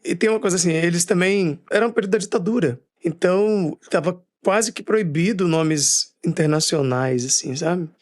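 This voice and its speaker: A man with a Bahian accent tells stories about his family in a relaxed interview. He talks in a chatty way, full of little fillers and laughter. His voice sits a bit higher than most men's. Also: normal speed, few pauses. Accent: Bahian Portuguese. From Bahia, Brazil. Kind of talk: casual storytelling interview.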